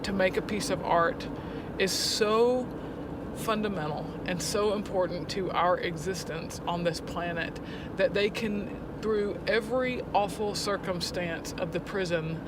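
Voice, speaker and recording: A woman's voice: mid-range voice, measured pace. A woman talking casually and emphatically as a poetry teacher in an interview. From Alabama, United States. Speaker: poetry teacher and prison arts program head. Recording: location recording with clear vehicle and outdoor noise.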